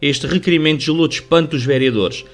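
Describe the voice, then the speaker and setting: A male narrator reads a classic story for radio in a slightly high voice. His European Portuguese delivery is formal and measured, a literary storyteller's reading over soft music. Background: music under the voice.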